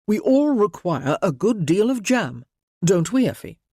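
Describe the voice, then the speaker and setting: A man voicing a courteous, composed gentleman lord in a storybook reading. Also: mid-range voice, sing-song, unhurried, breathy edge, dry recording, clean studio sound, voice pushed up, heavily acted. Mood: calm, measured.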